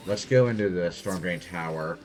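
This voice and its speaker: A soft-spoken young man casually commenting on his gameplay as host. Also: deep voice, moderate pace, soft background music. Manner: quiet, soft-spoken, laid-back. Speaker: gaming channel host.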